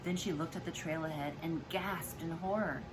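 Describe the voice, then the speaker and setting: A woman's voice: sits low, moderate pace, background noise. A woman, a mother, reading a children's storybook aloud expressively to her child. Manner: expressive, acts out dialogue and explains to child.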